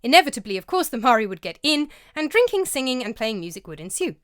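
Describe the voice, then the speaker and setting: A woman's voice: on the high side, very animated, fast, a bit bright, no echo. A woman narrating her vlog in a scholarly, lightly amused tone, reading a prepared script. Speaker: vlogger and narrator. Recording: dry close-mic voice-over.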